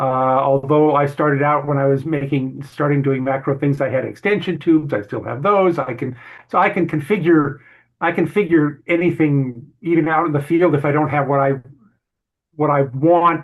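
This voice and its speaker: A man who grew up speaking Hungarian at home, a guest photographer chatting casually in an interview over a video call, rambling and correcting himself as he goes. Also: a little high. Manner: rambling, self-correcting, easygoing. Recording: muffled video-call audio.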